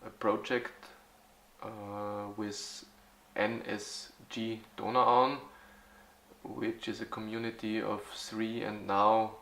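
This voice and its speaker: A man in his forties from Austria, answering interview questions haltingly, with many fillers and restarts. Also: fairly low pitch, level tone, creaky, fairly bright tone, echoey room. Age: 40s. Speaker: youth football club organizer.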